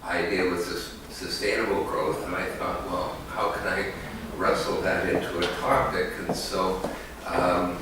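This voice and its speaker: A man's voice: deep voice, very noisy. A man giving an academic conference talk as an economist, hesitant, with many fillers and restarts, recorded in an echoey hall from a distant mic.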